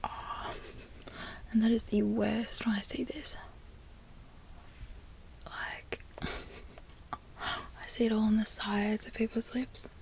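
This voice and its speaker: A woman with an Australian accent, an ASMR creator softly whispering repeated trigger words. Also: a little high, little pitch movement, slow, gravelly, pauses often.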